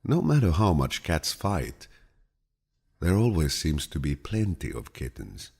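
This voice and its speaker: This man has an exceptionally deep voice with a heavy gravelly creak and a husky grain. He narrates like a calm grandfather telling stories, measured and soothing. His intonation moves expressively as he reads each saying. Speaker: audiobook narrator. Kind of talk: calm, measured audiobook reading of quotations.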